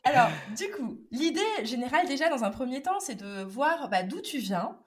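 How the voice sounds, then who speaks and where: A woman's voice: sits high, animated, moderate pace. A woman hosting a podcast interview, reading a scripted intro then chatting in a friendly way with fillers. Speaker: podcast host.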